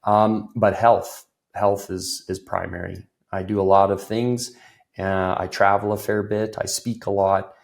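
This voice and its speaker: Guy getting over a cold, lowish voice, chatty with lots of ums, laughs easy. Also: level tone, measured pace, gravelly, darkish tone. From Ireland. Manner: loose, filler-heavy, laughs easily. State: recovering from a cold, voice a bit off. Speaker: guest, business consultant. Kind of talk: conversational interview.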